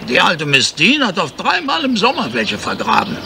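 An actor playing an obliging, rustic old gardener in a German radio crime drama, folksy and deferential, often repeating himself. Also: male voice, high voice, wildly swooping pitch, normal speed, creaky, exaggerated voice. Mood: chatty, insistent. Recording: noisy vintage radio recording with outdoor ambience.

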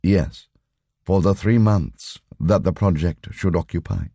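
An imperturbable lama , acted out by a male audiobook reader, speaks with formal patience. His voice is low and measured, recorded dry and close. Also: warm tone, no room sound. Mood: patient, formal.

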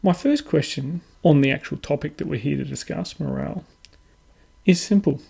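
A man hosting a history podcast, asking questions haltingly with many fillers and dry asides. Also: a little high, vocal fry, darkish tone, slightly rough. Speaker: podcast host. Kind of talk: interviewing.

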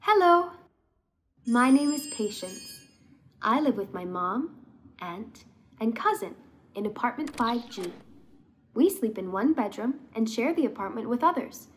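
Woman as a thoughtful, expressive little girl. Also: a little high, a little slow, long pauses. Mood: earnest, reassuring. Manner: earnest, explanatory childlike storytelling. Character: thoughtful little girl living in crowded apartment.

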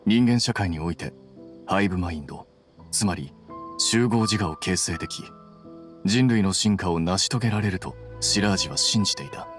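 A man's voice: low voice, expressive, moderate pace, a bit bright, faint music behind. An actor playing a cool, analytical young male scholar in a Japanese anime game, explaining things in a composed, precise, matter-of-fact way.

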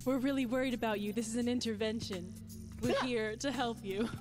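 A woman's voice: fairly high pitch, steady tone, brisk, music in the background, natural voice. A woman voicing a sassy, breezy bat double agent in a comedic video game fan dub. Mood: concerned.

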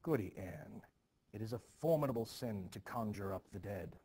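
A man's voice: lowish voice, animated, moderate pace, warm tone, husky. A man reading the part of an anxious, defensive village reverend in a stage play, flustered and pleading, repeating himself. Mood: shocked.

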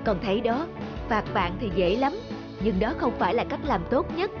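Cartoon teacher role female actor: kind, instructive woman, slightly high and expressive, clear music underneath. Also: moderate pace, muffled recording, close to an everyday voice. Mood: gently instructive. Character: kind, patient female schoolteacher guiding her pupils. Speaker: schoolteacher character. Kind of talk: animated moral tale dialogue. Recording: music behind the voice.

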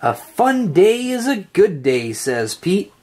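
A storybook reader reading a children's picture book aloud in an expressive, sing-song way with drawn-out phrases. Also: mid-range voice, slightly rough.

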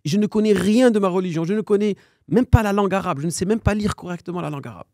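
Animated man telling a personal story. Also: high voice, very fast, no echo, studio quality. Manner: animated storyteller, acts out quoted dialogue, sprinkles in Arabic phrases. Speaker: podcast guest. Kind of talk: personal storytelling in casual conversation.

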